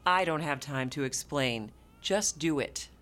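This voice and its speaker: A woman's voice: deep voice, lively intonation, measured pace, a bit bright. A woman acting in a radio play as a stern, disapproving nurse who speaks formally and with authority. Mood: brisk. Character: stern, officious, disapproving female neonatal nurse.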